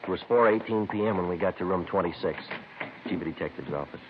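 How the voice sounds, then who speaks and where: An actor playing a brisk, terse detective sergeant, narrating his case in a clipped, deadpan, matter-of-fact way for a 1950s radio police drama. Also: low voice, background noise, close to an everyday voice. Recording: tinny old radio recording, music behind.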